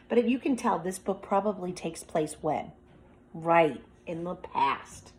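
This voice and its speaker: A woman's voice: medium pitch, low-quality recording. A woman speaking as a teacher, reading a picture book aloud with commentary and prompting young listeners with questions as if to a class. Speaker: teacher demonstrating a children's read-aloud.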